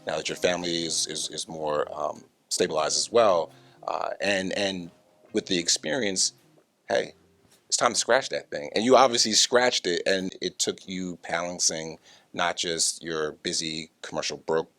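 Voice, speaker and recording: A man's voice: low-pitched, steady tone, moderate pace, pushed and bright. An American man hosting a casual interview, reflecting on what he hears in an encouraging, affirming, conversational way. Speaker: interview host.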